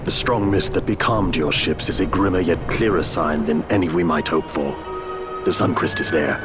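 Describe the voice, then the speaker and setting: A steadfast knight with a very deep voice, played by an actor. He speaks solemnly and deliberately. Game music plays behind. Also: natural voice. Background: game score music.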